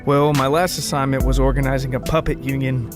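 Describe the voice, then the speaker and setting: A man voicing a huge, gruff tattooed union man with a deadpan, halting manner in a comic audio story. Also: mid-range voice, music in the background, close to an everyday voice. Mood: guarded explaining.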